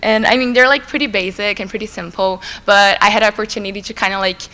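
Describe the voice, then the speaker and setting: A young woman from Brazil, a native Portuguese speaker with a Brazilian Portuguese accent, giving a relaxed, chatty school presentation full of "like" fillers. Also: medium pitch, quick pace. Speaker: student presenter. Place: school assembly hall. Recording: long reverb in a large hall.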